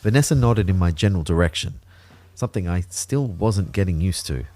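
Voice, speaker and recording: A man's voice: low-pitched, lively intonation, brisk, warm tone. A man playing an anxious, introspective man who narrates his own story in a reflective, wry way for an audio drama. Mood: worried. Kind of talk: first-person scripted audio drama narration.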